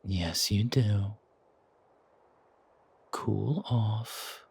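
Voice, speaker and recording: Casting: male actor as condescending gentleman leader for audio drama, very raspy and low, measured, with veiled threat. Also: full character voice. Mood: quiet menace. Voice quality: husky, gravelly. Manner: measured, condescending, softly chiding. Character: older-mannered founder, genteel, patronizing, quietly menacing man. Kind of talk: audio roleplay drama acting.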